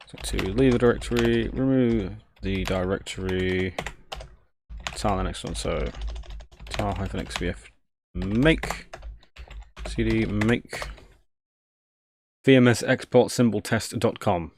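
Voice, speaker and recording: A man's voice: on the low side, slow pace, pauses often, background noise. A man casually thinking aloud on a live tech stream, jokey and self-deprecating, muttering each step as he works.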